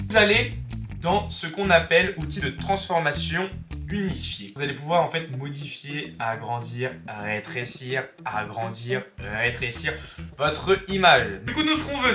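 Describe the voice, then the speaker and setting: A young man with a cold talks high and loose, cracking crude jokes as he walks viewers through a tutorial. His voice rings around a roomy space with music playing clearly underneath. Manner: chatty, joking, crude slang. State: has a cold. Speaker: YouTuber teaching software. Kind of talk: casual screen-recorded tutorial. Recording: roomy room with background music.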